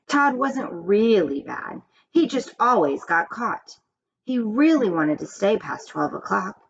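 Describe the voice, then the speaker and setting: A woman reading a children's story aloud in an expressive storytelling style. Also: fairly high pitch, vocal fry. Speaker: story reader. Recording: dull, muffled, background noise.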